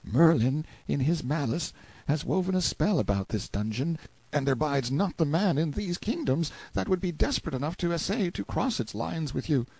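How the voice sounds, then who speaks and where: Guy doing a high nervy medieval page boy, old-timey pleading talk, storybook style, swoopy pitch. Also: wildly swooping pitch, moderate pace, a little mellow, breathy edge, no room sound, exaggerated voice, poor audio. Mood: fearful confiding. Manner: archaic speech, pleading. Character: timid, superstitious medieval page boy, light-hearted and easily terrified. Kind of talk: audiobook character acting.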